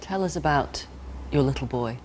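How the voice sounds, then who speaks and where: Broadcast interviewer, woman. Serious, measured questioning, slight noise in the recording. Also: rumbling low, animated, darkish tone, rough recording.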